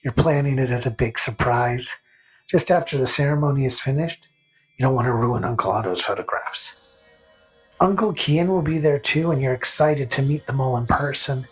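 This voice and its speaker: A man narrating a scripted fantasy story in the second person, with a gentle, whimsical storytelling manner. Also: mid-range voice, moderate pace, low-quality recording. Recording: faint music under narration.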